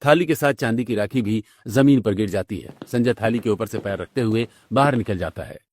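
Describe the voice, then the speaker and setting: A man's voice: fairly low pitch, brisk, darkish tone. A man delivering scripted narration for a cartoon story.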